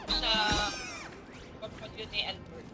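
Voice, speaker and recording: A woman's voice: mid-range voice, a little slow, over loud music. A woman in casual, offhand conversation. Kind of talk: casual chat.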